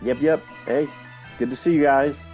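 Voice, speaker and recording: A man's voice: medium pitch, fairly even intonation, normal speed, darkish tone, soft background music. A man co-hosting a casual podcast, joking and self-deprecating, sounding nasal from a cold. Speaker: podcast co-host.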